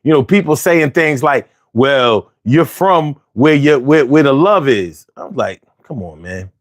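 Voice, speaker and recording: A man's voice: medium pitch, expressive, a little slow. A man in his forties from Philadelphia with a Philly accent, hosting a casual, argumentative monologue full of slang and restarts. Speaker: host.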